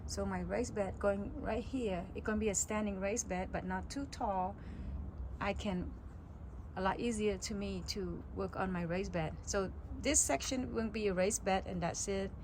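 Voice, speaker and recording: A middle-aged woman from Cambodia giving a relaxed, chatty tour of her backyard garden, outdoors on a phone mic. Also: medium pitch, normal speed, background noise. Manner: relaxed, chatty, simple phrasing. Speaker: gardening vlogger.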